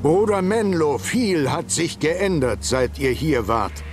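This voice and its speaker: An actor playing a formal, ceremonious older count in a video game cutscene. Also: male voice, a little high, big pitch swings, slow, soft background music. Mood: grave, courteous. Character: stately, formal older count, guardian of a relic.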